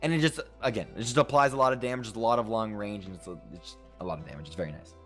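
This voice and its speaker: A young man casting an esports match as color commentator, explaining strategy in a lively, animated way. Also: lowish voice, racing, soft background music. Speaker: color caster.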